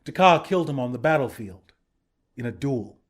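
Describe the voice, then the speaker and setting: An actor playing an earnest, friendly young rebel leader in a video game, speaking in a sincere, conversational way. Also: male voice, mid-range voice, animated, normal speed, lightly acted. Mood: cautioning, sympathetic. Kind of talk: video game character dialogue.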